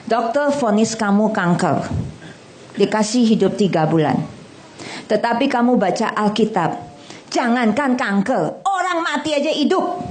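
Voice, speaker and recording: A middle-aged woman preaches to a congregation in a high, bright voice. She is lively and blunt, cracks jokes in casual slang and keeps throwing questions at the room. Faint hall ambience hums behind her. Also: a little slow, a little hiss or hum. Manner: animated, humorous, blunt and colloquial, asks the audience questions. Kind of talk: lively sermon.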